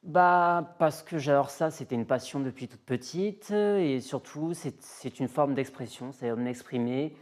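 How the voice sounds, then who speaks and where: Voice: medium pitch, measured pace, hardly any pauses. A self-assured interview guest from the south of France, talking candidly and emphatically and repeating phrases for effect. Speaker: guest, music artist.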